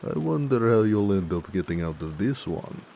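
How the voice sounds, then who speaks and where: A man voicing a gentle, affectionate orc blacksmith in an intimate romantic audio roleplay, tender and musing. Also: fairly low pitch, sing-song, gravelly, muffled recording, a little hiss or hum. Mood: brooding, narrating. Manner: unhurried, tender, murmuring, musing.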